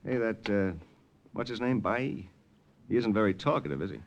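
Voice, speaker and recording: A man's voice: fairly low pitch, animated, normal speed, creaky, highs cut off, slight background noise. An actor playing a dry, wisecracking American army lieutenant who narrates his secret mission in a 1950s radio drama. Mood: relaxed wisecracking. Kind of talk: 1950s radio drama acting, hard-boiled first-person narration. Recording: old 1950s radio recording, loud music.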